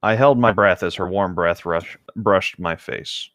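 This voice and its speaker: A man's voice: deep voice, measured pace, fairly bright tone, no echo, clean studio sound. A man reading a novel aloud as co-host, mixing the text with casual, wry, laid-back asides.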